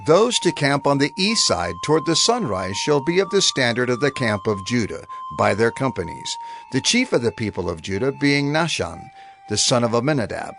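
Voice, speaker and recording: A man's voice: mid-range voice, very animated, moderate pace. A man reading scripture from a script in a formal, proclaiming manner. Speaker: narrator. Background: faint music.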